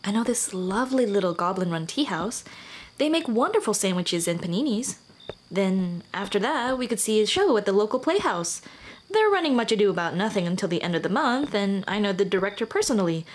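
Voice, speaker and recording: A woman voicing a shy, teasing female dullahan on a date in an ASMR romance roleplay, speaking softly and hesitantly, often near a whisper. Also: mid-range voice, animated, brisk, slight background noise, lightly acted. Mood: warm, eager. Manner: soft, hesitant, intimate, often dropping to a whisper.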